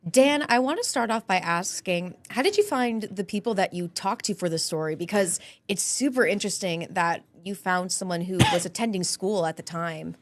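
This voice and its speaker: A woman's voice: mid-range voice, lively intonation, normal speed, hardly any pauses. A young woman hosting a news segment, stuffy from a cold, chatty and bubbly with rising inflections. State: congested with a cold. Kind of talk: upbeat scripted news hosting.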